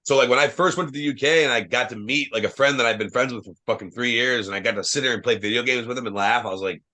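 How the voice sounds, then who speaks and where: A young man from Ohio talks at a mid pitch, with a loud voice. He tells rambling travel stories, full of swearing and "like". It is a casual podcast chat. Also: fast. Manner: loose, profane storytelling, lots of fillers; says he has a loud voice. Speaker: guest, professional wrestler.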